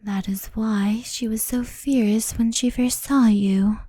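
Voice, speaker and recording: A woman playing a gentle, anxious, centuries-old fox spirit in an ASMR roleplay, speaking softly and often whispering close to the microphone. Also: on the high side, fairly even intonation, dry recording. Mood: sorrowful, hushed. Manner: soft, breathy, often whispered, unhurried.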